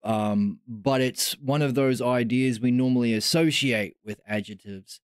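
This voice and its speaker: A man joins the discussion over a video call, thinking aloud and hesitating with frequent ums. His voice sits at a mid pitch and sounds dry and close, with no room around it. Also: a little slow, smooth, no echo. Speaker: study group participant. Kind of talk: answering in a group discussion.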